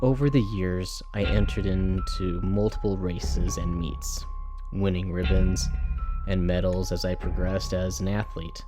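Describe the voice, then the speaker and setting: A man reads a scripted first-person horror story in a measured, dramatic storytelling manner. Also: sits low, fairly even intonation. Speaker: storyteller, narrator. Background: music.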